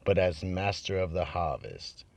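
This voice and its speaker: A man playing a brooding vault keeper, narrating a horror radio drama in a slow, ominous, theatrical manner. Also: deep voice, lively intonation, vocal fry, darkish tone, heavily acted. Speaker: horror anthology host and narrator.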